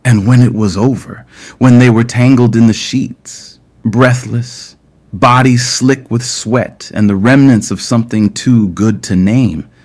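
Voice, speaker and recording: A man narrating scripted romance fiction in a measured, deliberate way with dramatic pauses. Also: mid-range voice, darkish tone. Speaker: audio story narrator.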